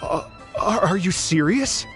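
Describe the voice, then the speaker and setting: A man's voice: sits high, expressive, measured pace, music in the background. An actor playing an earnest, nervous male musician in a video game, hesitant and fretful. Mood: astonished. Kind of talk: video game character acting.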